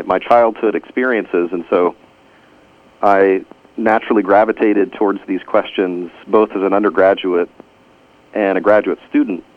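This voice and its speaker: Deep-voiced Houston academic, hesitant and careful, heard over a tinny phone line. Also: male voice. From Houston, Texas. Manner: measured, many "uh" pauses and restarts. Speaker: guest historian. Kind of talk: interview answers.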